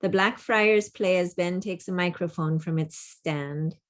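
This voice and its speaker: A woman's voice: fairly low pitch, unhurried. A woman reading out stage directions at a play's table read, in plain, clipped phrases. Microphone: video call.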